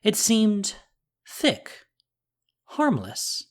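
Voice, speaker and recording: A narrator reading a scripted fantasy audio drama in a measured, dramatic, descriptive way. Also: mid-range voice, wildly swooping pitch.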